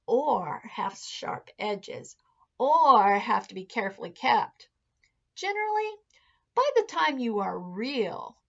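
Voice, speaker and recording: Woman voicing a slow, hushed old toy horse. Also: fairly high pitch, vocal fry, highs cut off, heavily acted. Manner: slow, hushed, patient storybook delivery.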